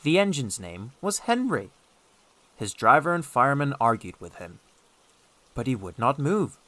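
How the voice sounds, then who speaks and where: A man narrating a scripted children's story as an audiobook, expressive and deliberate in his storytelling. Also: medium pitch, dry recording.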